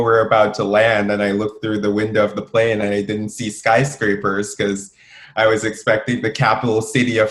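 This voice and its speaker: Young man, earnest interview guest, lowish voice, even monotone delivery, echoey room. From Jamaica. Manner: earnest, steady, with many um fillers. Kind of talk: conversational interview answers.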